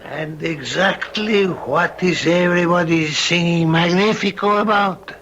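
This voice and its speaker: A man's voice: high-pitched, unhurried, fairly bright tone, dull audio. An actor playing a stern, courtly middle-aged Spanish father in an old-time radio drama, formal and commanding with a Spanish accent. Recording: lightly noisy vintage radio recording.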